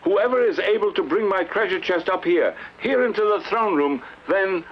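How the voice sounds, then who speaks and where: A man's voice: high voice, expressive, normal speed, dull audio. An actor playing a blustering, greedy, evasive old king in a theatrical fairy-tale play, flustered and pompous. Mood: alarmed, greedy.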